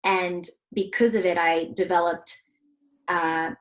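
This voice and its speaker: A woman's voice: lowish voice, steady tone, slow pace, low-quality recording. A woman from Switzerland, a heart coach hosting a casual interview, speaking earnestly and reflectively with many fillers. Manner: earnest, reflective, soft-spoken with frequent fillers.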